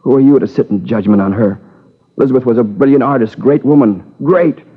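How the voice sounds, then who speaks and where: An actor playing a tormented man in his twenties, anguished, defensive and halting, in a 1950s radio crime drama. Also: mid-range voice, slightly rough, lightly acted. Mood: bitter, defensive. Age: 20s. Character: tormented young man confessing to killing his lover. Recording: muffled old 1950s radio recording.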